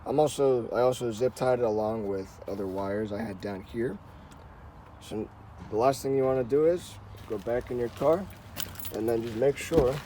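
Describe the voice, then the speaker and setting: A man with a middling pitch talks through a practical job in a casual, informal way. He guides the listener step by step, often reusing the same instructional phrasing. There is faint noise and vehicle ambience around his voice. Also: warm tone. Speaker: host of a how-to car channel. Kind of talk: casual hands-on tutorial talk.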